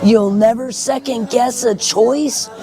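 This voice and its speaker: A middle-aged woman with a hoarse voice, giving frank, earnest personal testimony as an interview guest at a festival. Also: fairly high pitch, slow pace. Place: at a festival in a park. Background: music.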